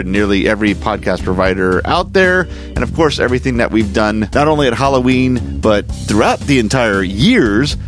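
A man hosting a podcast, delivering a scripted sign-off. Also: lowish voice. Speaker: podcast host. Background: music.

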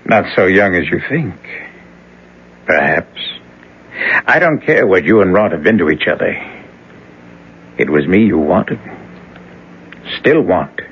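Husky, sinister possessed youth, low voice with a wide range, old radio play sound. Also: male voice, lively intonation, unhurried, long pauses, exaggerated voice. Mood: menacing. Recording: dull old radio broadcast.

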